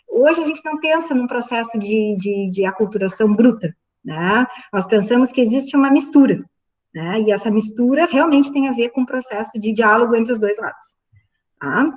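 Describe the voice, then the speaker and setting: A woman from giving an academic lecture, pausing often with fillers and checking in with her listeners. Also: a little high, recorded from a distance. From southern Brazil. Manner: halting, many fillers, checks in with the audience. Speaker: university lecturer.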